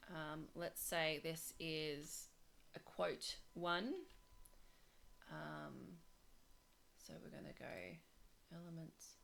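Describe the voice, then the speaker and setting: Chatty woman with a low, rambling voice. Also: little pitch movement, extremely slow, vocal fry, long pauses, fairly bright tone, a little hiss or hum. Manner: chatty, rambling, many fillers and restarts. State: losing her voice. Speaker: design tutor. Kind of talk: casual screen-share tutorial.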